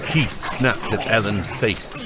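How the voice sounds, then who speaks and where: A man giving measured, scripted dramatic narration as the narrator of an epic fantasy audiobook. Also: on the low side, heavy background noise. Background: prominent music.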